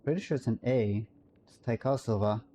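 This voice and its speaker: A man's voice: medium pitch, a little fast, dark tone, a little hiss or hum. A man streaming a game live, chatting casually and musing aloud, often correcting himself. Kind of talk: casual live-stream chat while playing.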